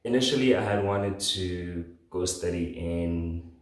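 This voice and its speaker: A man's voice: sits low, measured pace. A man in his late twenties from southern Africa telling his story casually as a solo podcast host, in an informal, conversational way. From southern Africa, born in Zambia. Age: late 20s. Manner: informal, conversational, often says "like". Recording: very echoey room.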